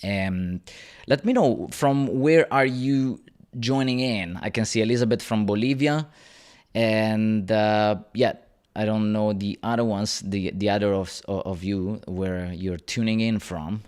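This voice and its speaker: A man's voice: on the low side, a little slow, darkish tone, clear, resonant tone. A man in his thirties hosting a casual livestream, greeting viewers by name and chatting with lots of fillers and restarts. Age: 30s. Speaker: YouTube channel host. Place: rented apartment.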